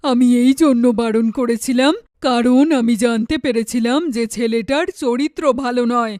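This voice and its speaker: A woman's voice: on the high side, steady tone, moderate pace, darkish tone, no echo, clean studio sound, voice pushed down, lightly acted. A woman voicing a stern, protective middle-aged mother in a cartoon, with a scolding, indignant manner. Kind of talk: cartoon dialogue.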